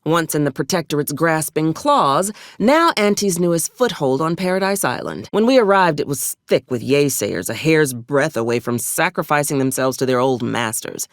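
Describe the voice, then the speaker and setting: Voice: medium pitch, animated, moderate pace, few pauses, no room sound, lightly acted. An actor playing a hard-bitten, sardonic old gun hand of a military officer in a video game, with dry, folksy, formal frontier phrasing. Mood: dry, commanding. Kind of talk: video game character dialogue.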